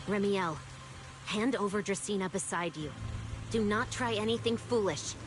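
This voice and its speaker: A woman's voice: mid-range voice, moderate pace, lightly acted. An actress playing a stern, formal female authority figure giving clipped commands, in a game cutscene. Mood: commanding. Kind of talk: video game voice acting. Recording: game cutscene with music behind.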